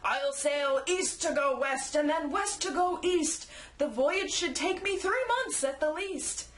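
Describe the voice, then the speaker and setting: Pompous, huffy male explorer acted by a woman, storybook style, high voice, grand declaiming. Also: measured pace, a bit bright, reverberant. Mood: confidently pompous.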